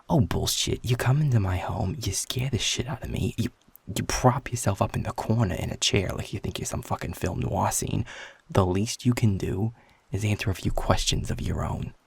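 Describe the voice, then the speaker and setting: A feisty, cocky male werewolf played by a man in an intimate audio roleplay. His voice is low and very husky, thick with gravel. He talks bluntly and informally, with a teasing, flirtatious edge. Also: moderate pace, dry recording, exaggerated voice. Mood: irritated, demanding.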